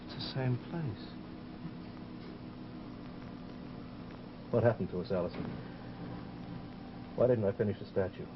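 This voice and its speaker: An actor playing a troubled, insistent sculptor in an old-time radio drama, with an earnest, intense delivery. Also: male voice, on the low side, fairly quick, noisy background, lightly acted. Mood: urgent pleading. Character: troubled, insistent male sculptor haunted by a dream. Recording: muffled old radio recording, loud music behind.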